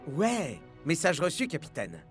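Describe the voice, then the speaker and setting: A man's voice: a little high, wildly swooping pitch, a little slow, soft background music. A man voicing a cheeky young football player in a cartoon. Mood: compliant. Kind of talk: cartoon voice acting.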